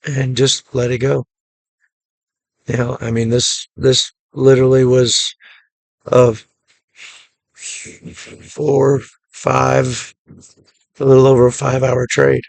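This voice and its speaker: Man, hoarse from a cough, heavy vocal fry, close dry sound, relaxed matter-of-fact recap. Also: medium pitch, slow pace, pauses often, dry recording, poor audio. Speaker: day trading channel host. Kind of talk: casual screen-share trade recap.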